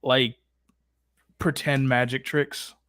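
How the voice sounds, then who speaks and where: A man's voice: mid-range voice, little pitch movement, slow, fairly bright tone, dry recording. A man hosting a livestream Q&A in casual, slangy, playfully exasperated improvised chat. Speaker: host of a character Q&A livestream.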